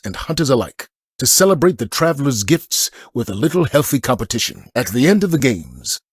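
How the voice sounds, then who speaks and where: An actor playing a stoic, noble military commander in a video game, speaking in solemn, measured, formal oratory. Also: male voice, mid-range voice, slightly rough, dry recording, studio quality. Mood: measured, proud. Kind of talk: scripted game mission briefing.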